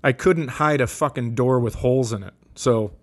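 A man hosting a comedy podcast, chatting casually with a deadpan, sardonic and sweary delivery. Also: mid-range voice, moderate pace.

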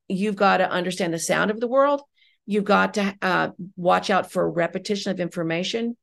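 A woman's voice: fairly low pitch, gravelly. A middle-aged woman speaking as an interview guest, candid and emphatic in a reflective conversational way. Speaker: guest, audio drama writer and director.

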